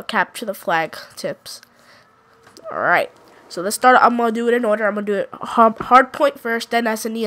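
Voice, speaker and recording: A woman's voice: mid-range voice, bright, forceful tone. A woman with a congested, sick voice giving casual gameplay commentary, pointing out map callouts and often correcting herself. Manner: rambling, self-correcting, unsure. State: congested from a cold. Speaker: gaming YouTuber.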